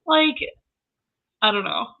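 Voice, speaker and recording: Creaky-voiced woman on thin, phone-like audio. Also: a little high, lively intonation, slow pace. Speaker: co-host of a book discussion. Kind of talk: casual fan chat about fantasy novels.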